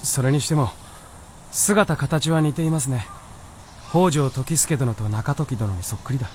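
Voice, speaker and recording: An actor playing a fox spirit disguised as a young merchant, polite, wry and easily flustered, in a period radio drama. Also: male voice, mid-range voice, a little slow, darkish tone, slight background noise, lightly acted. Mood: wry, reflective.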